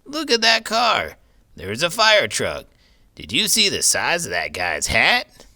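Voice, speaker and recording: Slow, sharp-edged old cowboy. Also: male voice, a little high, huge pitch swings, pitched above the performer's own voice, full character voice. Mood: opinionated, enthusiastic. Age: older. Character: gruff food-loving old cowboy puppet sidekick. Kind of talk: comic banter on a children's read-aloud show.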